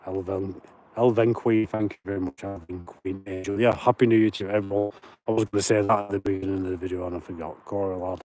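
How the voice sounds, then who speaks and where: A chatty man with a broad Lancashire accent talks into his phone while walking the moors at night. His voice sits low and mellow. He reads out viewer comments and greets people by name as he goes. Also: steady tone, quick pace. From Lancashire, England. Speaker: paranormal livestream host. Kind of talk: casual livestream chat while walking. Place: outdoors on moorland at night.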